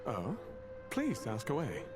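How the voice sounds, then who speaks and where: A man playing a kindly, apologetic professor in a video game cutscene, gentle and a little hesitant with his student. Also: medium pitch, wildly swooping pitch, slow pace. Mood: warm, amused. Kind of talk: scripted game cutscene acting. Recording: background music behind dialogue.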